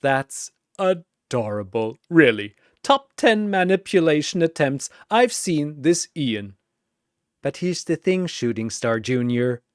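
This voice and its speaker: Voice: mid-range voice, big pitch swings, takes it slowly, no echo, clean studio sound. A narrator voicing a manic, gleeful triangle demon with a mocking, theatrical delivery in an audio story. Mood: mocking, sly.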